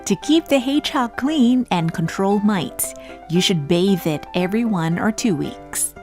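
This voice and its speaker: A woman giving calm, instructive voice-over narration from a script. Soft music plays faintly underneath, and her pitch sits in a middle range. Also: measured pace.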